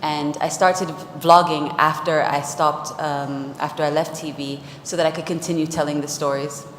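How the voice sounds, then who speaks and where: Low-voiced woman. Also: flat delivery, normal speed. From Jamaica. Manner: open, personal, many fillers. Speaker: vlogger and DJ. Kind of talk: panel discussion. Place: large conference hall on stage. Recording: echoey hall with faint noise.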